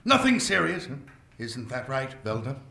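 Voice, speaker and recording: An actor playing a sinister, scheming older male mad scientist who talks in a slow, sly drawl with purring pauses. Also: medium pitch, big pitch swings, gravelly, pushed and bright, heavily acted. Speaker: research scientist. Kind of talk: amateur radio-play acting. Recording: roomy echo, faint hiss.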